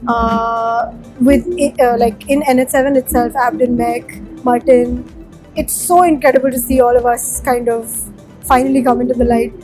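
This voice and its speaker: A woman's voice: on the high side, fairly even intonation, normal speed, a little mellow, faint music behind, low-quality recording. A woman from Sikkim whose first language is Nepali, a musician answering interview questions in a chatty, candid way with plenty of fillers. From Sikkim, India.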